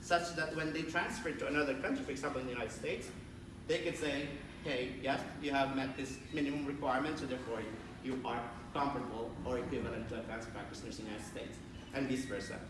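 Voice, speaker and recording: Male academic presenter, medium pitch, distant and very echoey conference recording. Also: steady tone, fast talker. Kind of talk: academic presentation and question answering. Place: conference room, picked up from a distance. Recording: very echoey room, distant mic, clear background noise.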